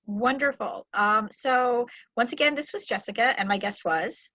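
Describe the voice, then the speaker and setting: A woman with a fairly high voice hosts a casual interview. The sound is very dry and a little dull, like modest lo-fi audio. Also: moderate pace, bright, forceful tone, no echo. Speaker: podcast host, interviewer.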